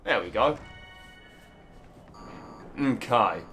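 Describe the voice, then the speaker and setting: A young British man with a slightly rough, hoarse throat, chatting casually through gameplay commentary and breaking into mild exclamations. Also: medium pitch, lively intonation, very slow, fairly bright tone, soft background music. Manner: chatty, swears mildly, bursts into exclamations at the game. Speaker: let's play host.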